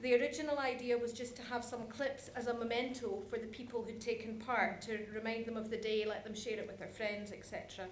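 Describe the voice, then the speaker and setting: A woman's voice: fairly high pitch, fairly even intonation, a little fast, hardly any pauses. A woman giving an informal conference presentation in a chatty, self-deprecating way. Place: conference hall, live presentation. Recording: echoey room with background noise.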